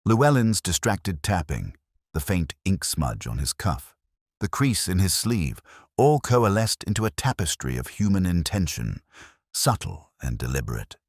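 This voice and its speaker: Very deep-voiced brooding detective narrator, acted by a man. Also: very animated, no room sound, studio quality, exaggerated voice. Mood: brooding, contemplative. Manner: measured, literary, contemplative. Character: brooding, observant, intellectual male police detective. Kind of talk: scripted first-person detective story narration.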